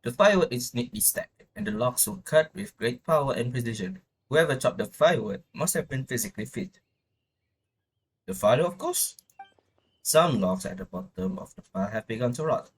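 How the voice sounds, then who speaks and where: A man live-streaming a video game, reading on-screen text aloud and casually thinking out loud as he plays. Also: medium pitch, very animated, moderate pace, gravelly, husky, poor audio.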